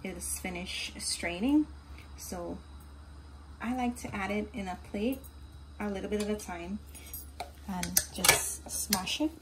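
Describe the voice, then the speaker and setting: A Guyanese woman talks viewers through a home recipe at a middle pitch, calm and methodical. She is recorded in a home kitchen with plenty of noise around her. The clatter of pots and dishes stays clearly audible under her voice. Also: slow, long pauses, low-quality recording. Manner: steady step-by-step instructions, often says "guys". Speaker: cooking channel host. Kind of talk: home cooking tutorial.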